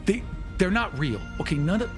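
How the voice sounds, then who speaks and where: A man's voice: high-pitched, big pitch swings, fairly quick, voice pushed up. A man playing an overexcited, stammering man in an improvised tabletop game, urgently insisting that magic is real. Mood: urgent pleading. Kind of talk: improvised tabletop roleplay dialogue. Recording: music behind the voice.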